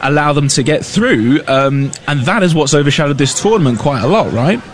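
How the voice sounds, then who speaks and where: A man's voice: a little high, expressive, fairly quick. A man reporting live on business news, talking loosely with fillers and restarts. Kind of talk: live news cross, conversational.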